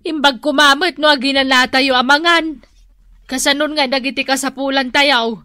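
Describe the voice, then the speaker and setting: A woman's voice: high-pitched, steady tone, normal speed, pushed and bright, no echo, studio quality. A woman playing a nagging, practical farmer's wife in an Ilocano radio comedy-drama, chiding and emphatic, with an Ilocano accent.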